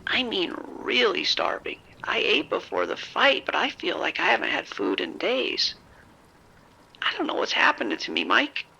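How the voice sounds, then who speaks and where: An actor playing a frightened, bitten man who is falling sick, halting and whispery in a horror audio drama. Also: high-pitched, huge pitch swings, gravelly, pushed and bright, breathy edge, exaggerated voice, low-quality recording. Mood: weak, bewildered. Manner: halting, whispery, repeating himself. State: sick, weak, faltering. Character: frightened, sickening bitten man, unravelling and rambling.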